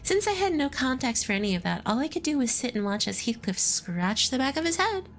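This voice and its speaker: A woman's voice: sits high, very animated, brisk, a bit bright, slight background noise. A woman streamer giving casual, rambling commentary while playing a game, often giggling. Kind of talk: casual commentary over gameplay.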